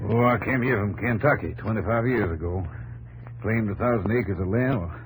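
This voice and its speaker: A man's voice: lowish voice, normal speed, close to an everyday voice. A man playing a seasoned, fatherly, self-assured rancher with a folksy manner in a 1950s radio drama. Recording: faintly noisy old radio broadcast.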